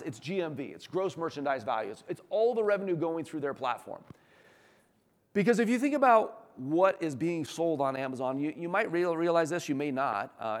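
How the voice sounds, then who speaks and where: A man giving an informal business presentation as a company executive, enthusiastic, conversational and emphatic, with a slightly hoarse voice. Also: a little high. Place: large room, talk before an audience. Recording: echoey hall with long reverb.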